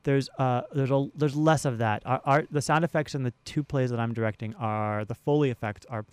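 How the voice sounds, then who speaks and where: A man being interviewed as a theater director, explaining conversationally with halting repeats, close on a studio mic. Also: mid-range voice, clean tone, dry recording. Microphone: close studio broadcast mic.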